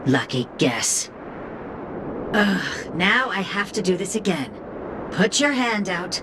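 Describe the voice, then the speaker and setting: A bored, sarcastic game robot. The delivery is slow and impatient, with wide dismissive swings in tone. There is clear noise behind it. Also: female voice, medium pitch, big pitch swings. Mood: irritated, bored. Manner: weary, dismissive, drawling impatience. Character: grumpy, bored, sarcastic robot fed up with its job. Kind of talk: scripted game cutscene dialogue.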